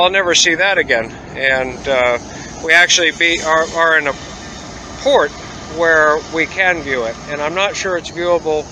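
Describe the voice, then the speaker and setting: A man vlogging a travel tour outdoors at a port, talking casually with lots of "uh" pauses. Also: on the high side, pushed and bright, a little hiss or hum, low-quality recording. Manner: rambling, many "uh" pauses, easygoing. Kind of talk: casual travel vlog narration.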